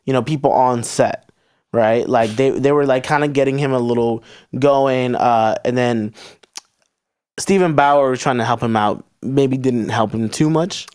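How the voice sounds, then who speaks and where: A man co-hosting a casual film podcast, animated and talking in quick asides. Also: medium pitch, clean tone. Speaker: podcast co-host.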